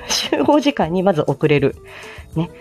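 Low-pitched woman, animated intonation, chatty livestream host, giggly and self-deprecating, faint music behind. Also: unhurried. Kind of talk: casual morning livestream chat.

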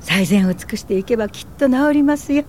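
Actress as kind woman social worker, soft-spoken, mellow tone, radio drama, faint rumble behind. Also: expressive, fast talker, close to an everyday voice. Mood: reassuring, earnest. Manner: soft-spoken, caring, polite. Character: earnest, gentle woman medical social worker. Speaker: narrator and lead actress. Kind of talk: radio drama narration and acting.

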